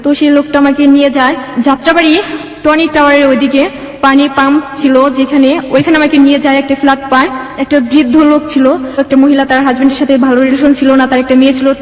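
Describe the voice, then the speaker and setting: Young woman, Bangladeshi accent, quick personal storytelling, interview guest, very reverberant room. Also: high-pitched, flat delivery. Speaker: guest telling own life story. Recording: echoey room with long reverb.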